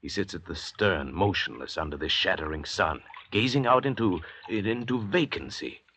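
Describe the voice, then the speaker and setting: An actor playing a haughty, cultured French doctor and murderer in an old radio drama, speaking in a formal, grandiloquent way. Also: male voice, low-pitched, lively intonation, moderate pace, bright, forceful tone. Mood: assured, ominous. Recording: old radio recording with hiss, clicks.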